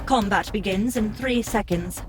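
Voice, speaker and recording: A man's voice: high voice, measured pace, over music, voice pushed up. A man doing a formal game system announcer.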